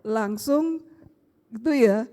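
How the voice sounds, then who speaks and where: A middle-aged woman pastor with a Surabaya Javanese accent, preaching a lively sermon full of rhetorical questions. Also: on the high side, slow. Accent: Surabaya Javanese Indonesian. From Surabaya, Indonesia. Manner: animated storytelling with rhetorical questions, acts out family dialogue. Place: church sanctuary. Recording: long church-hall reverb.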